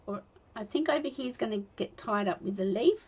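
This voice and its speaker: An Australian woman in her fifties, chatting and talking to herself while she demonstrates card making, with a slightly croaky voice. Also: fairly high pitch, expressive, brisk. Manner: rambling, self-talk with soft laughs. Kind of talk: chatty crafting demo.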